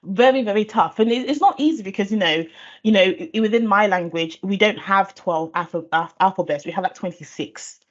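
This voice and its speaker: A woman's voice: mid-range voice, brisk. A woman from Nigeria who grew up speaking Igbo, explaining SEO in a thoughtful conversational way, with fillers and restarts. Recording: dull lo-fi audio.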